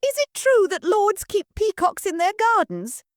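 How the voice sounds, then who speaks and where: A woman voicing a whimsical, cheerful young daughter who talks brightly and chirpily. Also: very high-pitched, very animated, unhurried, no room sound, studio quality, voice pushed up, full character voice.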